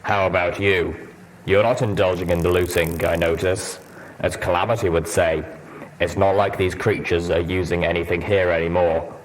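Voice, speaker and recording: A man voicing a stoic armoured soldier, deep and slow, with a neutral, guarded delivery in an audiobook. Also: little pitch movement, lightly acted, poor audio.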